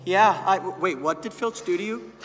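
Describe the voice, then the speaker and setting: A man as a high, excitable teenage wizard. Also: normal speed. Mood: puzzled. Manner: blunt, exclamatory, earnest. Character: excitable, brash, lovestruck teenage wizard boy. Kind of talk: comic musical stage reading. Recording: echoey theatre, loud music and audience.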